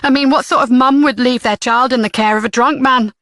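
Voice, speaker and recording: A woman voicing a defensive, brash mother who talks in a quick, snappish jumble. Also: high-pitched, steady tone, a bit bright, no room sound, clean studio sound, pitched above the performer's own voice. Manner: defensive, rapid jumbled talk.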